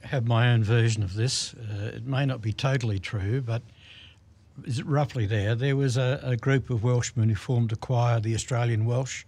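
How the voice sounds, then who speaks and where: A man guesting on a radio show talks about his choir. He speaks hesitantly, filling pauses with ums and restarting his sentences. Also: medium pitch, steady tone, darkish tone. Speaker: choir spokesman.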